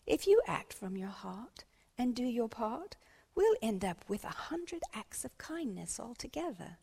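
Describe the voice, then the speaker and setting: A woman reading a children's storybook, voicing a cheerful, excitable schoolteacher who encourages in singsong rhymes. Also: a little high, measured pace, creaky, breathy edge, in a large hall, full character voice. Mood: encouraging. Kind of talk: children's storybook read-aloud.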